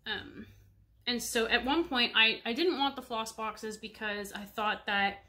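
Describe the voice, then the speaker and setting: A woman in her thirties talks to her webcam at home, her nose stuffed up and congested. Her voice is bright and a touch sharp, and she wanders from thought to thought with plenty of fillers. She keeps it friendly and chatty with her viewers. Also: fairly high pitch, steady tone, a little slow. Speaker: cross-stitch vlogger. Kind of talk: casual show-and-tell chat. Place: home room with bookshelves. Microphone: webcam at desk distance.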